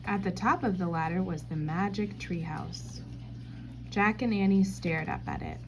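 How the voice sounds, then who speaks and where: A woman reading a children's storybook aloud in scripted, expressive narration. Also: fairly low pitch, a little slow, noisy background.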